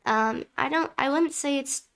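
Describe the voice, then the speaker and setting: A woman's voice: high-pitched, a little slow, creaky, fairly bright tone. A young woman hosting an interview, chatting casually with lots of likes. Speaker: host.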